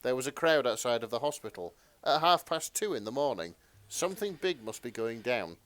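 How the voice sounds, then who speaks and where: Guy on a chatty podcast, kinda hesitant n halting, mid pitch, dry audio. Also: expressive, fairly bright tone, dry recording. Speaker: podcast co-host. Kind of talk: casual podcast chat.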